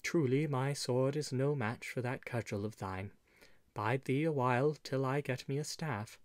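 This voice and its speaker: A man voicing a soft-spoken, gentle young stranger in a storybook, languid and courteous with quiet menace. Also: mid-range voice, moderate pace, no echo, clean studio sound, lightly acted. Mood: calm. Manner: languid, courteous, archaic speech. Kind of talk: audiobook storybook character.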